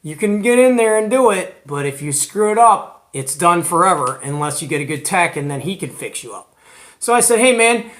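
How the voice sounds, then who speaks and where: Male host, American accent, high-pitched voice, animated and colloquial, casual vlog presenting. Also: moderate pace. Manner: animated, colloquial, retells conversations. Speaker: host of a CB radio show.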